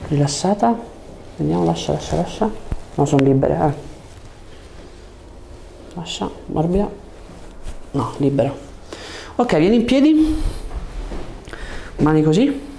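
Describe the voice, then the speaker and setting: Man, 30s, chiropractor. Mellow, soft-edged voice, short reassuring instructions, audible background noise. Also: on the high side, big pitch swings, measured pace, vocal fry, pauses often, poor audio. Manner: short coaching commands with reassurance. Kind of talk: calm hands-on instructions to a patient. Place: small treatment room indoors. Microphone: lapel mic. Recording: noticeable room background noise.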